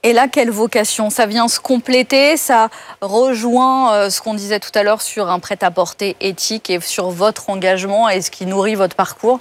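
A woman's voice: medium pitch, fairly even intonation, normal speed. A woman interviewing a guest, asking long probing questions and giving brief backchannel responses. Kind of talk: radio interview.

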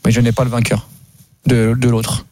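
A man's voice: medium pitch, completely flat delivery, normal speed, a little mellow. A man chatting casually as a sports radio pundit, emphatic and often repeating his phrases.

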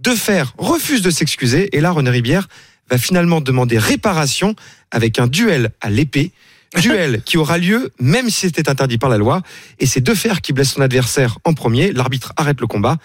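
A man's voice: a little high, sing-song, moderate pace, breathy edge, no room sound, clean studio sound. A man telling history anecdotes as a radio columnist, in a storytelling way with wry asides.

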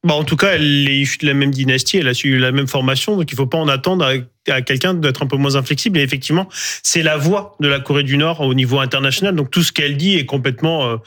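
A man speaking as a panel guest, analytical and brisk. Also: medium pitch, fairly bright tone. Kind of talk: TV panel discussion.

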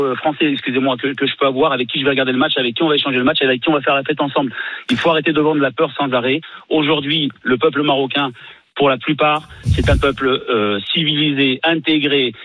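Fast, insistent male radio caller. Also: mid-range voice, steady tone, no echo, phone-quality sound. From Morocco. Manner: earnest, insistent, repeats himself. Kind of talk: radio call-in talk.

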